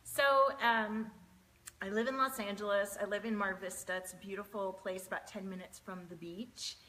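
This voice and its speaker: A woman in her forties, chatting casually on a home live stream from a desk mic. Her voice is bright and forward, sitting at a middle pitch, and she greets viewers by name and fills her pauses with plenty of ums. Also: fairly even intonation, rough recording. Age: 40s.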